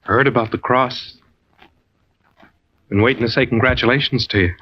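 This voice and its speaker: A man's voice: mid-range voice, steady tone, moderate pace, natural voice. Eager young diver, muffled radio drama, man acting. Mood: friendly, eager. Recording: muffled, noisy old radio recording.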